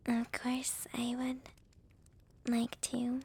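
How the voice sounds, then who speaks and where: A woman playing a shy, gentle young vampire with a crush in a whispered ASMR roleplay, speaking softly and haltingly with a breathy voice. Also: a little high, completely flat delivery, heavily acted. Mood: shy, tender. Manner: hesitant, halting, soft-spoken.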